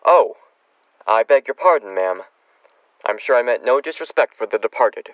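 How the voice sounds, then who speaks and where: A dry, muffled radio western recording of a man acting as a frontier town doctor. He is talkative and folksy, with a clinical, apologetic manner and a darkly flippant streak, at a middling pitch. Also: lively intonation, moderate pace, no room sound, lightly acted. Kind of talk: old-time radio western drama acting.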